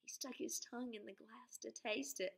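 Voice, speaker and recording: A woman's voice: fairly high pitch, steady tone, moderate pace, vocal fry, breathy edge. A woman teacher reading a children's story aloud expressively, drawing out key words and putting questions to her pupils.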